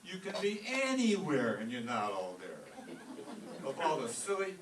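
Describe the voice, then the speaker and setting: A man's voice: fairly high pitch, huge pitch swings, unhurried. A man playing a scornful, wisecracking, blustery office boss in an old-time radio comedy. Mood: exasperated. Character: exasperated, sarcastic male law-office boss. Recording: roomy, distant stage recording with faint noise.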